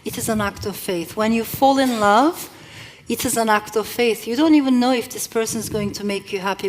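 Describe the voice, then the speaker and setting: A middle-aged woman author whose first language is not English, speaking thoughtfully at a live event and reading from her novel. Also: a little high. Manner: reflective, with measured pauses and occasional restarts. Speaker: author and guest.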